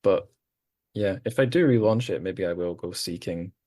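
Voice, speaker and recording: A man's voice: sits low, moderate pace, darkish tone. A man hosting a podcast, chatting casually with guests, rambling and self-deprecating with many restarts. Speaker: podcast host. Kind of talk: casual group chat.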